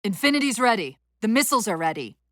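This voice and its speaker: A high, lively female AI companion from a sci-fi video game. She gives crisp military commands and briefings with brisk, wry urgency, her pitch moving freely, recorded very dry and close. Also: dry recording. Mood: brisk, focused.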